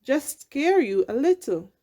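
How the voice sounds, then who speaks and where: A woman voicing a giant, proud but good-hearted male blue whale in a children's storybook, emphatic and deliberate. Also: sits high, sing-song, dark tone, voice pushed up. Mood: conciliatory.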